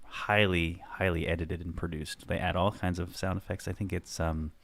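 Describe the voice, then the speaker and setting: A man with a somewhat low voice hosts a podcast in loose, rambling talk. He is chatty and self-deprecating, and his sentences drift and restart as he goes. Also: little pitch movement, normal speed, gravelly. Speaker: podcast host. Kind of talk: casual chat.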